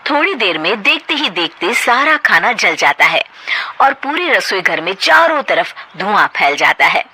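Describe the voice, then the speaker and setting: A woman's voice: medium pitch, very animated, measured pace, sharp tone, slightly rough. A woman narrating a scripted story as its storyteller.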